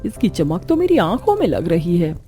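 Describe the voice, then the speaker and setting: A woman's voice: on the low side, big pitch swings, moderate pace, dark tone, soft background music. A woman voicing a gossipy, amazed female guest in a family cartoon. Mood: astonished.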